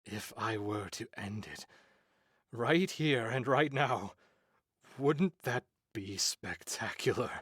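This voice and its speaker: Husky, anguished young soldier played by a man. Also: mid-range voice, lively intonation, takes it slowly, fairly bright tone, dry recording, clean studio sound, exaggerated voice. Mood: despairing. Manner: dramatic, despairing, polite but weary. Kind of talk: visual novel voice acting.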